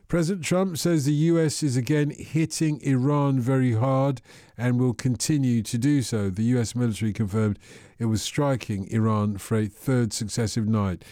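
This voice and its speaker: A man's voice: lowish voice, no room sound, clean studio sound. A man presenting an overnight radio phone-in, chatting casually and reading the news headlines. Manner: relaxed, chatty, quick asides.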